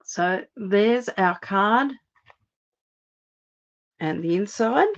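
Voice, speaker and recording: A woman from Australia, a little hoarse after laryngitis, giving a chatty step-by-step live card-making demonstration at her craft table. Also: medium pitch, extremely slow, muffled recording. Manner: chatty, steady step-by-step instructions.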